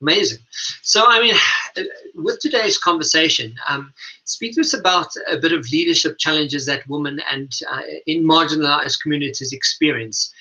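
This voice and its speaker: A man hosting a radio interview, speaking in a halting, hesitant way over a dull, lo-fi recording. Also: fairly high pitch, pushed and bright. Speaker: radio show host and leadership coach.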